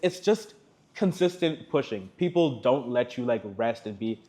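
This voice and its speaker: A teenage student from South Africa with an American accent, answering interview questions in a chatty way with lots of "like". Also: male voice, mid-range voice, animated, normal speed, warm tone. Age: teens. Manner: chatty, laughs between thoughts, lots of "like". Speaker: student guest.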